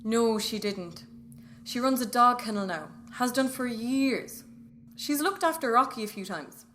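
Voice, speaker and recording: An actress playing an older Irish mother, reminiscing in an unhurried, matter-of-fact conversational way in an audio drama. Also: on the high side, animated, a bit bright, slight background noise. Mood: casual, reminiscing. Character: older Irish mother recalling local gossip.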